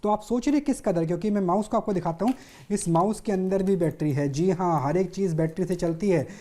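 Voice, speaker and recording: A woman's voice: lowish voice, fairly quick, warm tone. A woman presenting TV news in a live demonstration style, explaining step by step with emphatic repetition.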